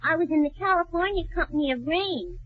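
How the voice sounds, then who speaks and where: A woman's voice: extremely high pitch, animated, quick pace. A woman playing a chirpy, flirty, giggly aspiring actress in a vintage radio comedy. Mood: bright, coy. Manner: giggly, gushing. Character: chirpy, gushing, flirty female actress seeking work. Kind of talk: 1930s-40s radio comedy acting. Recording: dull old radio broadcast recording.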